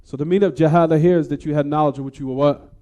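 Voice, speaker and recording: A man delivers a religious lecture at a rapid pace in a soft-edged, relaxed voice that sits a little high. He explains a text point by point, in a teacherly way, often turning statements into rhetorical questions. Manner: didactic, poses rhetorical what-questions to listeners.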